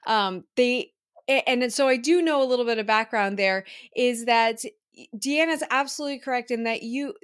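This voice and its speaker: A woman's voice: fairly high pitch, moderate pace, a bit bright, clean tone. A woman hosting a podcast in a casual, enthusiastic and affirming way. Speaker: podcast host, former English teacher.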